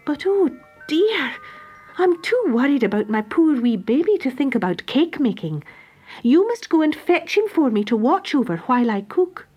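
A clever, fussing young baker who talks her captors round, as a woman reads her. Her voice lifts and bounces with lively, sly concern. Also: high-pitched, normal speed, dull audio, soft background music, pitched above the performer's own voice.

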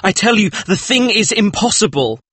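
An anguished male painter from a dramatised audiobook, acted by a male reader. His voice sits high and husky, recorded close and dry. He speaks with horrified, pleading urgency and heavy emphasis. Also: big pitch swings, moderate pace, pushed and bright, no room sound, exaggerated voice. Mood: agitated, appalled.

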